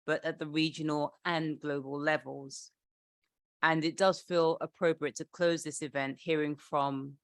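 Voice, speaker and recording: A woman moderating a formal event, making introductions in a polite, somewhat halting way. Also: low-pitched, fairly bright tone, no echo.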